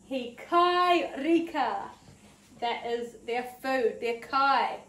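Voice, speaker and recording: High-voiced New Zealand woman teaching small children. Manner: animated, encouraging, sing-song teacher talk with drawn-out words. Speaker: preschool teacher and story time host. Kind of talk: interactive children's story time lesson. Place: preschool room, indoors. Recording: roomy, distant mic, background noise.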